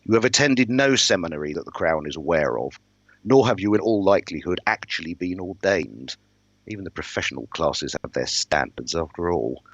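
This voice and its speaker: A man's voice: deep voice, lively intonation, a little fast, a bit bright, husky, dry recording, lo-fi sound, heavily acted. A man voicing an officious, pompous royal herald, disdainful and formal, in a fantasy novel reading. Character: sniffy, pompous palace herald, officious male.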